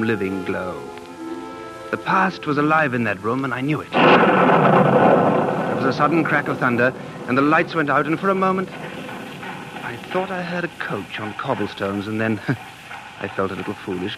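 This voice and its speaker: A man's voice: lowish voice, natural voice, poor audio. An actor playing an earnest, dreamy man who is obsessed with the past, narrating intensely in 1940s radio drama style. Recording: vintage 1940s radio broadcast with music.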